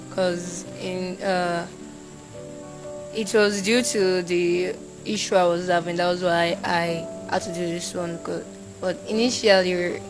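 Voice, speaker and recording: A young Nigerian woman, a university student, talking casually in an interview and acting out shouted quotes. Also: on the low side, poor audio. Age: young adult. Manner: animated, acts out shouted quotes.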